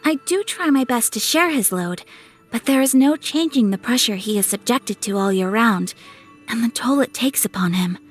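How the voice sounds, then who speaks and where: A young woman playing a refined, dutiful, gentle noblewoman in a video game, speaking with formal, courteous, measured phrasing. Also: on the high side, animated. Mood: earnest, wistful. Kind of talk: scripted video game character dialogue. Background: music.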